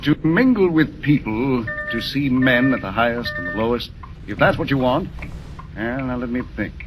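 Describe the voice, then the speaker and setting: A man's voice: medium pitch, animated, normal speed, over music, close to an everyday voice. An actor playing a kindly, bewildered professor and father, talking in a wondering, hesitant way in 1940s radio acting. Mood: thoughtful. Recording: noisy old radio broadcast.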